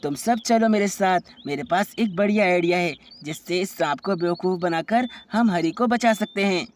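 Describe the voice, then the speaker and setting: A woman voicing a clever, helpful male insect in a children's animated story. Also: fairly low pitch, normal speed, natural voice. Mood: confident.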